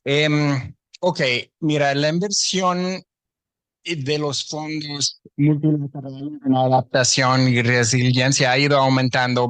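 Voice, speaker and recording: A man from Canada answers on a panel with a Canadian English accent. He speaks slowly and hesitantly, with frequent fillers. The sound is dry and close. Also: mid-range voice, a bit bright, clear, resonant tone, no room sound.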